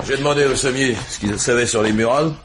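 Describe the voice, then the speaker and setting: A man's voice: mid-range voice, fast, noisy background, natural voice. An actor playing a businesslike police inspector who reports to his boss in colloquial French, in a 1950s-style radio mystery. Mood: matter-of-fact.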